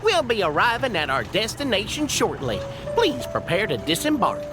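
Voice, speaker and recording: A woman's voice: mid-range voice, huge pitch swings, moderate pace. A woman voicing a dutiful train conductor in a video game, making formal, courteous announcements. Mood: bright, welcoming. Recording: music behind the voice.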